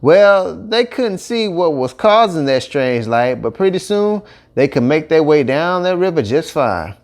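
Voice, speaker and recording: A man's voice: fairly high pitch, unhurried. A man with a Cajun accent narrating a children's story in a folksy, colloquial way. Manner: colloquial, animated dialect delivery. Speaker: audiobook narrator.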